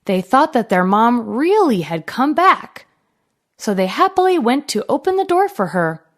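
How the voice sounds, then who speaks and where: A woman narrating a fairy tale for children in an expressive, deliberate storytelling manner. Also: on the high side.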